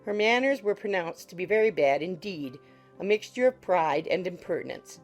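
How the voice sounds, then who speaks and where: Female audiobook narrator, lowish voice, noticeable vocal fry, literary storytelling, soft music behind. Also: expressive, moderate pace.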